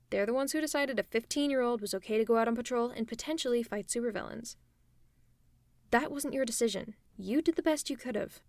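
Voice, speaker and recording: A woman performing an audio roleplay, talking softly and teasingly to the listener as if to a friend. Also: fairly high pitch, vocal fry, no room sound. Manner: soft, caring, teasing, often near a whisper. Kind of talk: ASMR roleplay, speaking to the listener.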